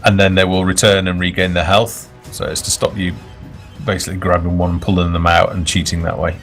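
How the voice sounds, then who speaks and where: A man with a low voice talks casually as a developer guest on a game stream. He explains features over voice chat, with faint music playing in the background. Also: little pitch movement, brisk, fairly bright tone. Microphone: push-to-talk voice chat.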